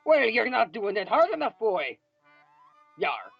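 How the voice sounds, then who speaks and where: A man voicing a gruff old male crab restaurant boss who yells with pirate-like growls, on a dull lo-fi recording with faint music. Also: high-pitched, huge pitch swings, a little slow, voice pushed up, heavily acted. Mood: yelling. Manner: bellowing, pirate-like "yar" growls.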